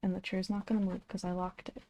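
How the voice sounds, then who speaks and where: A young woman streaming a game from home, thinking aloud in a soft, rambling way and gently coaxing her pet between remarks. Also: mid-range voice, flat delivery, fast, slight background noise. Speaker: streamer and channel host. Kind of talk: casual game-stream chatter.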